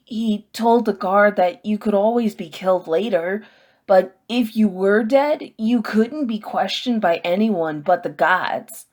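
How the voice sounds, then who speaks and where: A woman reader plays an anxious boy in a storybook. His voice sits higher, hushed and earnest, and he speaks without hurry. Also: measured pace, natural voice. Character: anxious, earnest boy companion bearing grim news.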